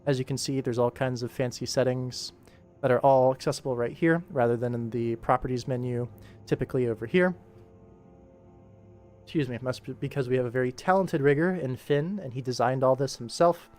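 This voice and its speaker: A man's voice: medium pitch, steady tone, fairly quick, darkish tone. A man chatting casually as a livestream host while he works, with a dry, tongue-in-cheek sense of humour. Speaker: livestream host, animation director. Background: music.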